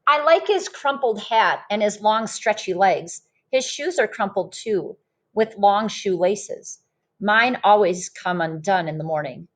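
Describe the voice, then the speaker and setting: A woman's voice: mid-range voice, animated, unhurried, a bit bright. A woman voicing an admiring young child who narrates a picture book with wondering emphasis.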